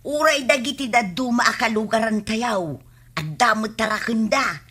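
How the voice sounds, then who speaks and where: An actress with an Ilocano accent playing a lively, talkative village woman in a comedy drama. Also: on the high side, moderate pace, slightly rough. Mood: chatty, enthusiastic.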